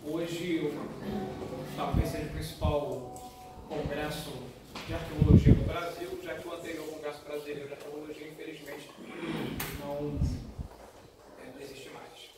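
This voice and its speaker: A man's voice: on the high side, steady tone, moderate pace, distant mic, over loud music. Brazilian man presenting in a very echoey room. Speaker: academic conference speaker. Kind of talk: formal slide presentation. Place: lecture room with an audience, indoors. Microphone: distant camera in the audience. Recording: very echoey, noisy room recorded from afar.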